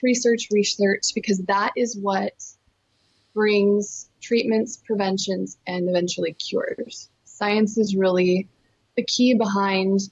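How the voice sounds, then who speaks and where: California woman chatting in an interview, earnest and enthusiastic, mid pitch, kinda muffled lo-fi sound. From California, born in Costa Rica. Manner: earnest, enthusiastic, emphatic repetition. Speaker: interview guest, nonprofit fundraiser. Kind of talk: conversational interview storytelling.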